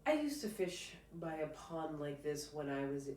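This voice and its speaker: Woman reading as a gentle storybook dad, roomy sound. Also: low-pitched, sing-song, measured pace. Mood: reflective. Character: gentle, encouraging Vietnamese immigrant father in a storybook. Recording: roomy, distant mic, faint noise.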